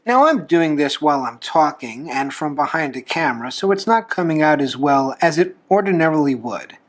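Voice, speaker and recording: A man hosting a drawing tutorial, explaining each step in a folksy, unhurried way with pauses. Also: a little high, expressive. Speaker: host of a drawing tutorial show, reverend. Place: talking from behind a camera while drawing.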